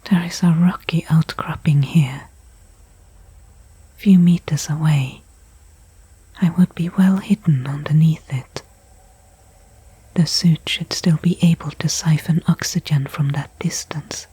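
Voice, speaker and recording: A woman playing a weary stranded astronaut in a whispered ASMR sci-fi roleplay, breathy and hushed, thinking aloud with pauses between thoughts. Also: deep voice, dry recording, heavily acted, poor audio. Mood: exhausted. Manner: slow, soliloquizing, pausing between thoughts. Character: weary stranded female astronaut, anxious, faintly hopeful.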